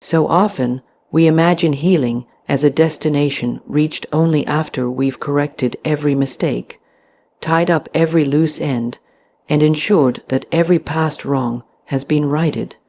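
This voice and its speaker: A woman narrating a scripted guided meditation in a deliberate, soothing manner with frequent pauses. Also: low voice, warm tone.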